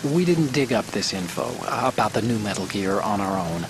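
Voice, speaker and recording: A man's voice: lowish voice, normal speed. An actor playing a nervous male hacker giving radio support, talking hesitantly and anxiously in a video game cutscene. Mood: worried. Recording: game audio over steady rain noise. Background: rain.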